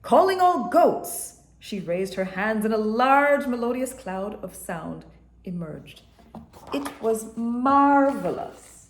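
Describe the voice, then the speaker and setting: A woman reads a children's story aloud at an unhurried pace, her pitch rising and falling with lively expression. The room echoes noticeably around her voice. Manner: expressive, slow, storytelling delivery. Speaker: storybook reader. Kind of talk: children's read-aloud narration.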